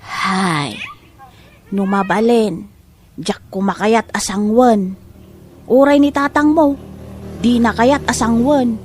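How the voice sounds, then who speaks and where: A woman's voice: fairly high pitch, slow pace, long pauses, a little mellow, slight background noise. A woman playing a stern, disapproving mother of a grown daughter in an audio drama, with a Filipino accent. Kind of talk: Ilocano audio drama acting.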